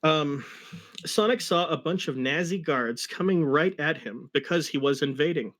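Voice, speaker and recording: Male story reader, fairly high voice, comic dramatic narration broken by wry asides. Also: moderate pace, fairly bright tone. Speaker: reader of a fan fiction story.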